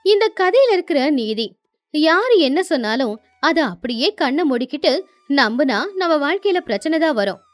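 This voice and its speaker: High, animated woman telling a story. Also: normal speed. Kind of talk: scripted moral-story narration.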